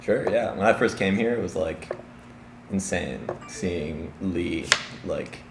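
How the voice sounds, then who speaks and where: A young man from Chicago answers interview questions with a very low voice. He hesitates and fills pauses often. The recording is roomy, with faint background noise. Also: gravelly. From Chicago, Illinois. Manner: hesitant, many fillers. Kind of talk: casual street interview.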